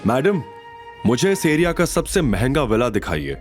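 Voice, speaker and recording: A man playing a caring, self-assured young man in an audio drama, speaking gently. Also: mid-range voice, very animated, normal speed, faint music behind, pitched above the performer's own voice, lightly acted. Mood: calm, assured.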